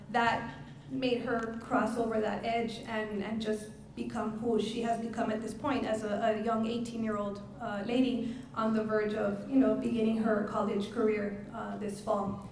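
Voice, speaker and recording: A middle-aged woman speaking publicly as a parent and educator, earnest and emphatic, with halting phrasing. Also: on the high side, a little mellow. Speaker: parent and educator giving a testimonial speech. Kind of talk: public speech at a press conference. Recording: very echoey room, distant mic, faint noise.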